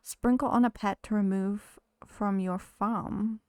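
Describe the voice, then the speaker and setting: A woman's voice: mid-range voice, fairly even intonation, takes it slowly, darkish tone, smooth, no room sound, clean studio sound. A woman streaming a game, chatting casually with viewers while losing her voice. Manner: chatty, greets viewers, talks to game characters. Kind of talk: casual live-stream chat while playing.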